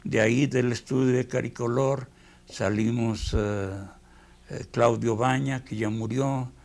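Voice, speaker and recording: An older man with a Mexican accent, reminiscing unhurriedly in a documentary interview, pausing as he tells his stories. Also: medium pitch, steady tone, creaky, a little mellow. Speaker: veteran animator.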